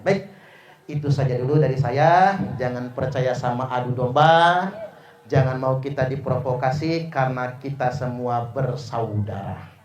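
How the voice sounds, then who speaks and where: A man's voice: mid-range voice, unhurried, a little mellow, far from the mic. Man preaching in an echoey room. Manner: preacherly, leads call-and-response chants. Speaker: Islamic preacher. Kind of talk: closing remarks of a talk.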